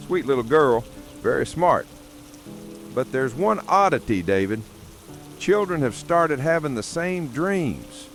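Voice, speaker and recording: An actor playing an earnest, wary middle-aged small-town sheriff, reporting gravely in a scripted radio drama. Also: male voice, mid-range voice, big pitch swings, slow, soft background music. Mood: measured, uneasy.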